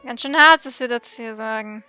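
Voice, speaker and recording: A woman streamer commenting casually on a game. Her voice is high and bright, recorded dry, with faint music behind it. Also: brisk, clean tone, dry recording. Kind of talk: casual Let's Play commentary.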